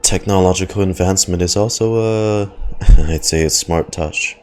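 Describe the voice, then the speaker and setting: A man's voice: low-pitched, moderate pace. A man giving casual, slangy reaction commentary as a game streamer, often sounding exasperated.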